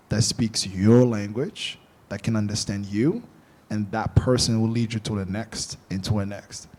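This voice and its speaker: A young man from Angola whose first language is Portuguese, telling stories casually on a panel podcast and acting out what others said. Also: medium pitch. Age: young adult. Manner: storytelling, animated quoting of others. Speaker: podcast guest.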